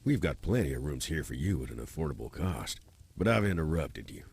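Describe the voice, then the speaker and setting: Very deep, husky tavern owner. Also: male voice, big pitch swings, fairly quick, darkish tone, heavily acted. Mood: affable. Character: sly male tavern owner, folksy and ribbing. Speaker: tavern keeper character. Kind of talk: video game voice acting.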